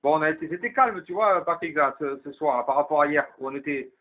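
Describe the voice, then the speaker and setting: Man, slightly raised pitch, tinny CB transmission, chatty, explanatory, repeats key points. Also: fast, very noisy. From southwest France. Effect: CB radio transmission. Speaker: amateur CB radio operator running the evening round. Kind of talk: casual CB radio chat. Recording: narrow tinny CB radio signal.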